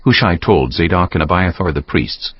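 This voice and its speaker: Very deep, solemn male narrator. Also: expressive, moderate pace, slightly rough, muffled recording. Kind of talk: scripted dramatic scripture narration. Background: music.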